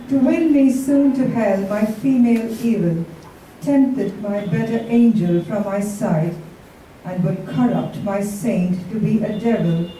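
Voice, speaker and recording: Woman reading poems out, really mellow and slow, kinda far from the mic. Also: mid-range voice. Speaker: poetry reader. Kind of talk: reading sonnets aloud with casual introductions. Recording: echoey room, distant mic, faint noise.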